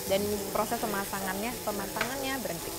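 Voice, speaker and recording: A woman's voice: medium pitch, a little fast. A young Indonesian woman presenting a TV cooking show, chatty and asking questions, mixing English words into her speech. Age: young adult. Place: TV studio kitchen set, indoors. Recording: background music, sizzling pan. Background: music and frying sounds.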